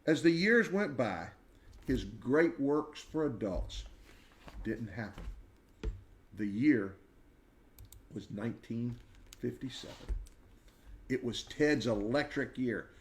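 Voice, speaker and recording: A man in his sixties reading a children's biography aloud in a measured, expressive storyteller's way, with dramatic pauses. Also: mid-range voice, slight background noise. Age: 60s. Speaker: read-aloud narrator.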